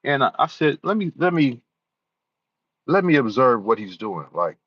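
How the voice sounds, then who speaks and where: A man's voice: medium pitch, very animated, normal speed, slightly rough, no echo. A man talking casually to his viewers, rambling and repeating himself with plenty of "you know"s. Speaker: YouTuber. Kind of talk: casual talk to camera. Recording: dull lo-fi sound.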